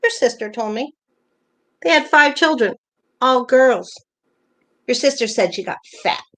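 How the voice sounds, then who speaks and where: A woman's voice: sits high, animated, a little slow, a bit bright. A woman playing a hurt, jealous older wife, pointed and probing, in a naturalistic play reading. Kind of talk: virtual staged play reading, naturalistic dialogue.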